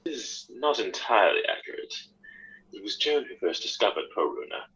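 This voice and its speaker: A man acts a smug gentleman captain in a radio mystery, husky and rough-edged with a forceful low voice. His manner is formal and evasive, on a slightly dull recording. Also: animated, a little fast, full character voice. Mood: smooth, guarded. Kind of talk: audio drama acting.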